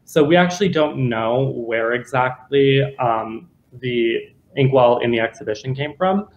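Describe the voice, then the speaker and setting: A man's voice: medium pitch, unhurried, reverberant, muffled recording. A young man, a guest curator, chatting casually about his exhibition on a video call from home. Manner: casual, chatty with many fillers. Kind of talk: answering questions after a lecture. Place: home room.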